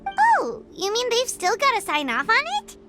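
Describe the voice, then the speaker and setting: A woman voicing a small, chatty fairy companion girl in a video game, cutesy and childlike, with a squeaky voice. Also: wildly swooping pitch, normal speed, full character voice. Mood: doubtful. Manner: cutesy, speaks of self in third person. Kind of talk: video game character dialogue. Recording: game music behind the voice.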